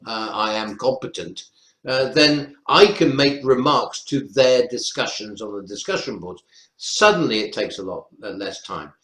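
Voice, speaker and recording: A man with a North Welsh accent, explaining his teaching practice as a lecturer on an online webinar panel. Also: medium pitch. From North Wales. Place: indoor room, online webinar. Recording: roomy echo on webinar audio.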